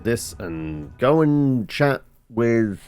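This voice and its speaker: A man's voice: medium pitch, lively intonation, very slow, darkish tone, clear, resonant tone. A man giving casual playthrough commentary on a video game, playfully thinking aloud. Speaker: gaming video host. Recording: faint background noise, game ambience.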